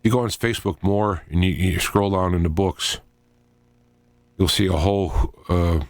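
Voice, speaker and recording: A middle-aged man with a fairly low voice hosts a talk radio show on his own. He speaks informally and haltingly, drifting between thoughts as he works them out aloud. The sound is close and dry, with little room to it. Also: no room sound. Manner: halting, digressive, thinking aloud.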